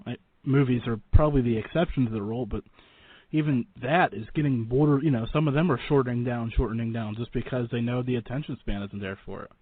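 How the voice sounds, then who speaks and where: A man's voice: medium pitch, fast, phone-quality sound. A man hosting a talk radio interview in a casual, informal, joking way.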